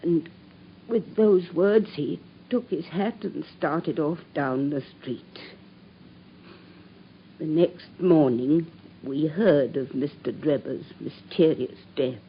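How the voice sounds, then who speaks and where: A woman's voice: low-pitched, slow pace, lo-fi sound, slight background noise. A distraught widowed landlady. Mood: distressed. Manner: distressed, pleading, breaking into tears. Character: anxious widowed landlady, middle-aged mother. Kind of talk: radio drama acting.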